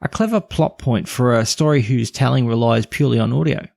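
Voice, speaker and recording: A man's voice: mid-range voice, brisk, vocal fry, a little mellow, dry recording, studio quality. A man narrating a scripted review as a podcast host.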